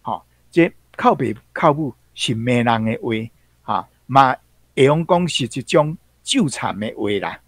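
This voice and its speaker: A man's voice: mid-range voice, animated, a little slow, no echo. A man with a Taiwanese accent explaining vocabulary as a guest teacher on a programme. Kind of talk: explanatory commentary.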